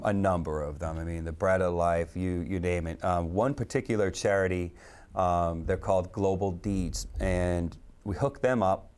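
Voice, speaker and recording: A man from a web design company talking casually in an interview about his work and clients, in a relaxed businesslike way. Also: low-pitched, clear, resonant tone. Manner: relaxed, businesslike, uses sports metaphors.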